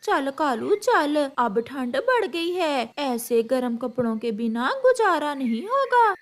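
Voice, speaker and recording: Woman doing greedy bossy crow lady for a kids cartoon, high voice, scolding, slow pace. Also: sing-song, heavily acted. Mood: urging.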